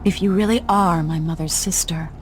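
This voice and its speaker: A young royal heroine from a video game, acted by a woman. Her voice is a touch low, earnest and resolute. Music runs clearly behind her. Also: fairly even intonation, normal speed, natural voice. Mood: guarded, questioning. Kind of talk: dramatic video game acting.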